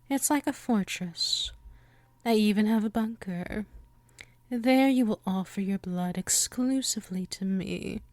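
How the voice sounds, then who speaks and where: A woman playing a possessive, hungry vampire in an ASMR roleplay, slipping between soft talk and breathy whispers, coaxing and menacing. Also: mid-range voice, dry recording, lightly acted. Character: possessive, hungry female yandere vampire, powerful and obsessive. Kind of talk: ASMR roleplay audio drama.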